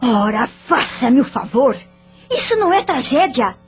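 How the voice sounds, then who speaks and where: Casting: actress as a bitter betrayed wife, very high and contemptuous, dull recording. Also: female voice, very animated, a little slow, husky, exaggerated voice. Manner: cutting and contemptuous. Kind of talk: audio drama acting. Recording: dull lo-fi audio with faint music.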